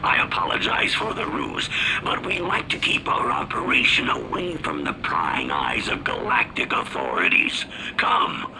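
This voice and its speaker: A courteous, shady alien smuggler boss from a video game. The formal, rumbling voice is extremely deep and widely inflected, with noise behind it. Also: male voice, wildly swooping pitch, measured pace, heavily acted. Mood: welcoming, sly. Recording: clear background noise and rumble.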